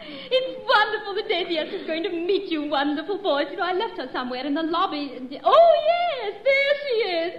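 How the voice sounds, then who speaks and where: Gushing society matron, affected and very high-voiced; roomy old radio comedy sound. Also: female voice, very animated, moderate pace, few pauses. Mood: gushing, fluttery. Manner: effusive, fluttery, affected politeness. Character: gushing wealthy society matron mother, pushing her daughter. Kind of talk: 1940s-50s radio comedy acting. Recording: roomy, dull old radio recording, audience.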